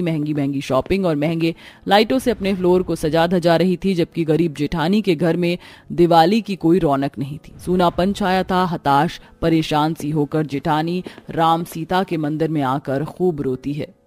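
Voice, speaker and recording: A woman's voice: low-pitched, normal speed, a little mellow. A woman narrating a scripted cartoon family story.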